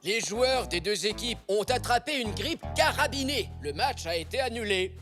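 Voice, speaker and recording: Cartoon gym keeper zebra: kindly, apologetic, singsong and animated, music under the lines. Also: male voice, high-pitched, normal speed. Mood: sympathetic. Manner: lilting, sympathetic. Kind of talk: children's cartoon dubbing.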